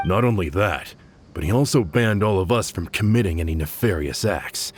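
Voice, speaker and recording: Brief: a bitter gang member, very deep, blunt, with soft music under. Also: male voice. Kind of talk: video game voice acting.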